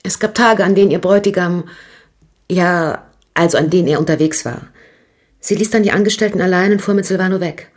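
A woman's voice: fairly low pitch, brisk, close to an everyday voice. An actress playing a nervous, talkative woman shop owner in a radio crime drama, speaking hesitantly. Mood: hesitant, confiding. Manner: hesitant, gossipy, restarting sentences.

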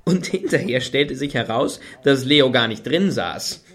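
A man's voice: mid-range voice, lively intonation, measured pace, fairly bright tone. An actor playing a wordy, wry and hesitant male fan in a German radio play. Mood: reluctant, teasing. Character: hesitant, sardonic male fan of a washed-up satirist. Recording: hissy background noise.

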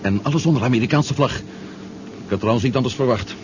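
An actor in a 1970s Dutch radio drama playing an impatient, bold adventurer on a submarine expedition. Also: male voice, mid-range voice, a little fast, lightly acted. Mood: awed, observing. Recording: muffled, noisy 1970s radio recording.

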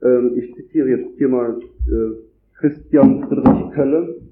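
A man with a cold gives a formal academic lecture at a conference, reading long, measured sentences from a script. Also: medium pitch, noisy background. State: has a cold. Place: conference hall. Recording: echoey hall over a tinny, phone-like line.

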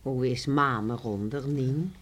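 A woman's voice: a bass voice, slow, heavily acted. A woman playing a sympathetic, resigned older village woman in a radio drama, with a sighing, rueful way of talking.

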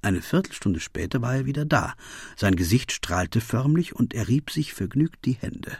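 An actor playing a detective's loyal, puzzled companion, narrating the case in a measured, literary radio play style. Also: male voice, mid-range voice, sing-song, vocal fry, husky, dry recording, clean studio sound, full character voice. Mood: measured, reflective.

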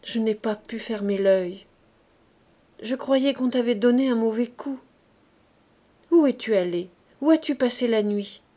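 A woman's voice: fairly high pitch, unhurried, pauses often, warm tone, lightly acted. A woman voicing a young, distressed, worn-out mother who pleads and argues with rising emotion. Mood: anxious pleading.

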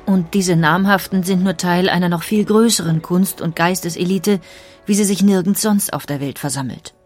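A woman reading scripted biographical narration in a measured, polished storytelling manner. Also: on the low side, soft background music.

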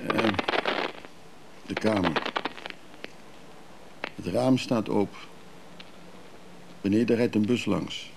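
Evasive hotel guest guy in a radio play, creaky voice, terse and halting, bit of background noise. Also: on the low side. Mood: halting, reflective.

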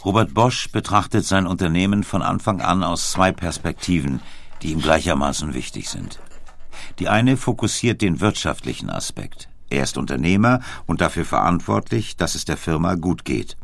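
A man reading scripted documentary narration in a measured, storytelling way. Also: low-pitched, very animated, gravelly.

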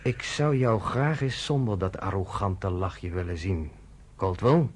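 A man's voice: sits low, lively intonation, measured pace, a little hiss or hum. An actor playing a blunt American male journalist in a radio drama, talking in a cutting, sardonic, lecturing way.

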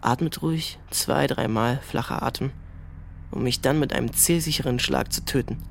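A man's voice: medium pitch, moderate pace, gravelly, natural voice. Radio drama role: privileged teenage boy, played by a young man, self-assured, cool, provocative, mid-range voice over faint noise. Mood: cool recounting. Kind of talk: German radio drama, recounting and dialogue.

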